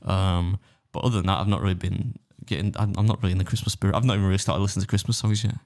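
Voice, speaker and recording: English male podcast host, quick and jokey, slightly low register. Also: fairly even intonation, darkish tone. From England. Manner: rambling, jokey, quick to laugh at himself. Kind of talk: casual podcast chat. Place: podcast studio.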